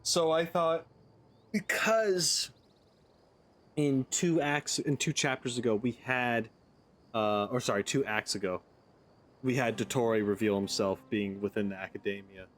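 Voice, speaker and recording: A man's voice: medium pitch, steady tone, unhurried, a bit bright. A man hosting a gaming video, giving casual playthrough commentary and rambling as he thinks aloud.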